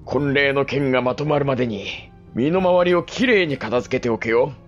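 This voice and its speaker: An actor playing a cold, middle-aged samurai patriarch in a period audio drama, speaking in formal, commanding lordly phrases. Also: male voice, mid-range voice, moderate pace, lo-fi sound, faint music behind, lightly acted.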